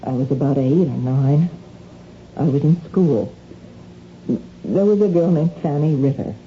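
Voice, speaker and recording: A woman's voice: low voice, lively intonation, unhurried. An actress playing an anxious, defensive married woman who shoplifts, pleading and evasive in a radio drama. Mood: wistful, confiding. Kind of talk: 1970s radio drama acting. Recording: muffled, noisy old radio recording.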